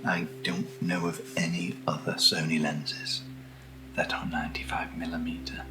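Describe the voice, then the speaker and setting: A British man speaking softly and breathily in casual ASMR style, unhurried and gently rambling as he hosts. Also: sits low, monotone. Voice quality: breathy, whispery. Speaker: host of ASMR unboxing videos. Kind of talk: soft-spoken ASMR, casual chat. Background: underlying music.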